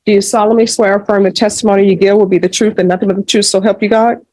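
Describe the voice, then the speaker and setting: A woman presiding as judge in a courtroom, speaking in a measured, procedural way. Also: mid-range voice, fairly even intonation, warm tone, no room sound, low-quality recording.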